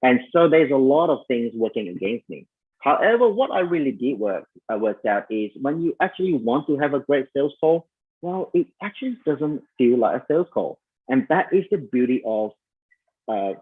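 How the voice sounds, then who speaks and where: A man with a Malaysian accent coaches listeners on selling in a loose, conversational way, sprinkling in fillers and calling them "you guys." His voice is a little husky. The audio comes through thin and narrow, like a phone line. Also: medium pitch. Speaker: sales coach. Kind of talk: online summit talk.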